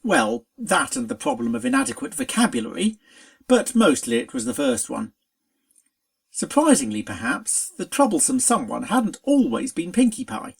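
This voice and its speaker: A narrator reading a scripted story aloud in an expressive, dramatic storytelling manner. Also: mid-range voice, normal speed, gravelly. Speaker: fan fiction reader.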